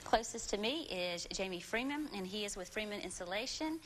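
A woman's voice: medium pitch, lively intonation, fairly quick, slight background noise, poor audio. A young woman hosting a live local TV interview, upbeat and polished, miked in a studio. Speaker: morning show host. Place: TV studio set.

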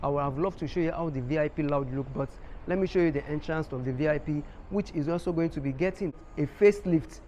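A man's voice: a little high, fast, few pauses, warm tone. A man in his thirties with a Nigerian accent, talking earnestly and apologetically to viewers in a casual vlog from an empty stadium stand, filmed at arm's length. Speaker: vlogger. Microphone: phone or camera held at arm's length. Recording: faint outdoor city noise.

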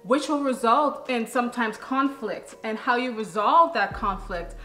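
A young woman speaks to camera with a bright, forceful voice. She explains things emphatically and casually, like a vlog host giving advice. The room is echoey and faint music plays underneath. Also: on the high side, expressive, measured pace. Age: young adult. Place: living room, on a sofa.

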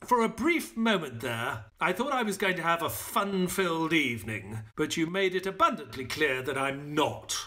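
A man playing a put-upon gentleman doctor and narrator in an audio play, measured and wry. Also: fairly high pitch, sing-song. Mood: wry, let down.

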